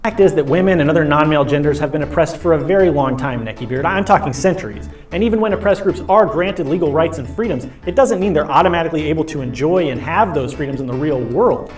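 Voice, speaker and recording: An earnest male puppet debater acted by a woman lectures in a scripted show. The voice sits lowish and moves animatedly, explaining each point like a teacher, with faint music behind. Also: lively intonation, fast, few pauses, a little mellow. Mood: firm. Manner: didactic, explanatory.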